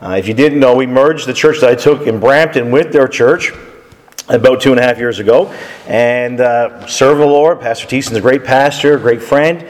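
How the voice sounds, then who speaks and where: A man in his thirties, hoarse after a camp week, opening a sermon as guest preacher in a friendly, chatty way. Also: medium pitch, moderate pace. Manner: friendly, chatty, with frequent fillers. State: hoarse, deeper than usual. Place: church sanctuary. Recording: church hall reverb.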